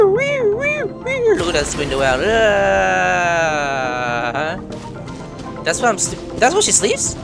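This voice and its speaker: A gaming video host giving casual, excitable let's play commentary, exclaiming and reacting along with the game. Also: mid-range voice. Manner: excitable, exclaiming, reads dialogue aloud. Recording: loud game music behind voice. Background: game music.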